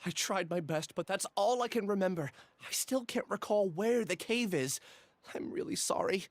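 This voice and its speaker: A man's voice: high voice, lively intonation, moderate pace, a little hiss or hum. An actor playing an earnest, troubled young man in a video game. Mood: apologetic. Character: earnest, troubled young male test subject.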